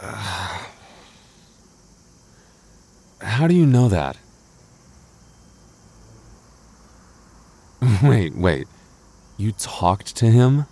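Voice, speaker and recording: Young male lover played by a voice actor, deep and soft-toned, possessive yet devoted, gets defensive, intimate roleplay. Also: big pitch swings, slow pace, vocal fry, full character voice. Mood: surprised, uneasy. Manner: stammering, defensive, teasing asides. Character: jealous, protective young boyfriend, defensive but loving. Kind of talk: intimate boyfriend audio roleplay.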